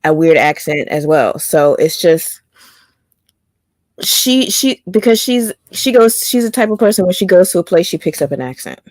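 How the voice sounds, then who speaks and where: A woman with a low voice, chatting casually. She rambles and restarts her sentences as she goes. The sound is dry and close. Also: expressive, moderate pace, darkish tone, no room sound. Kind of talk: casual chat.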